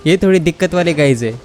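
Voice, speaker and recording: Very fast-talking Indian woman gamer. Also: low voice, a little mellow. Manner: giggly, informal, reacts to the game. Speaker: gaming video host. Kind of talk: casual gameplay commentary. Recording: game music behind commentary.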